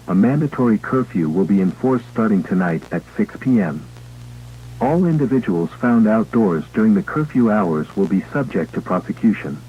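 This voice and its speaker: A man playing an official emergency broadcast announcer, reading a scripted alert in a formal, measured, impersonal way through a radio filter. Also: lowish voice, slight background noise. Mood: grave, official. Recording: tinny, band-limited emergency radio broadcast.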